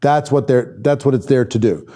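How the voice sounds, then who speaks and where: A man's voice: medium pitch, moderate pace, dark tone. A man working as a sales trainer, teaching a live online class in an informal, conversational way and thinking aloud as he responds to viewers. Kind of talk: informal live lesson, reading and answering viewer comments.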